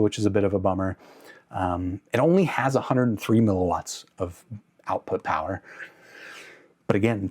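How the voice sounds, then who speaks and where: Low-pitched man giving a casual review. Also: a little mellow. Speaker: tech reviewer and channel host. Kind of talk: casual product review to camera.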